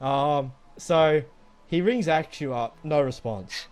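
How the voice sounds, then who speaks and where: A man's voice: fairly high pitch, takes it slowly, darkish tone, clean tone. Young man swearing through a rambling story, music behind. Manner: profane, rambling. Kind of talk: casual anecdote telling among friends. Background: background music.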